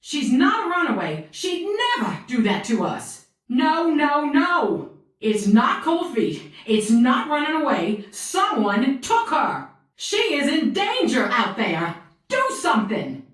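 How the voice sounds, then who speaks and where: Video game role: forceful, stern mother, high-pitched and insistent, heard slightly off-mic with heavy echo. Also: sing-song, slow, full character voice. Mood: urgent, insistent. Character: fierce, forceful mother of a missing daughter. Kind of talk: scripted video game voice acting. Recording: roomy echo, distant from mic.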